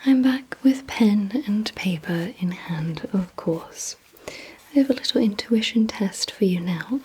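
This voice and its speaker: A woman whispering in a breathy, hushed ASMR roleplay style, giving deliberate instructions directly to the listener. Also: medium pitch, lively intonation. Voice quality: breathy, mostly unvoiced whisper. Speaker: ASMR performer.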